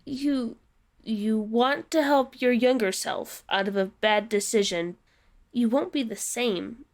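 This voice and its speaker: A woman's voice: fairly high pitch, takes it slowly. A woman playing a grave, reluctant demigoddess of magical tattoos in an audio drama, solemn and hesitant. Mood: wary.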